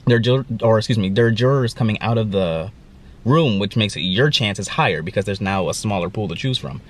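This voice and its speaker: A man's voice: lowish voice, brisk. A young man vlogging casually to camera, sounding stuffy and congested, rambling through a story with lots of fillers. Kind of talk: casual vlog talk to camera.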